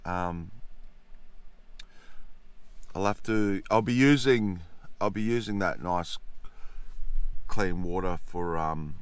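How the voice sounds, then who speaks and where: A man with a croaky morning voice, vlogging casually at an outdoor campsite, rambling with lots of fillers. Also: low voice, slow pace, long pauses, no echo. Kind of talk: casual solo vlog.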